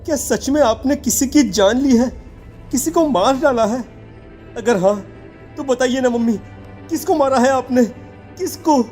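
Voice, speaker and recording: A woman's voice: high-pitched, measured pace, long pauses, mellow, soft background music. A woman playing a distressed, earnest teenage schoolboy disappointed in his mother, in a dramatic audio story. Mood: shocked, questioning.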